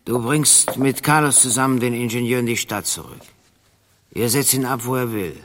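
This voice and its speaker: A cold male crime boss played by a man in a radio drama, with a mid-pitched voice. He speaks curtly and with authority, and a long hall reverb hangs on his words. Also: normal speed, a bit bright, close to an everyday voice. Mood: cold, commanding. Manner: curt, authoritative.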